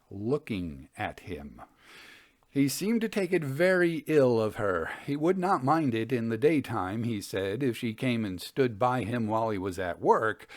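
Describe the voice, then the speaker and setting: A man hosting and reading old ghost stories as scripted narration, in a measured storytelling manner. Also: mid-range voice, no echo, studio quality.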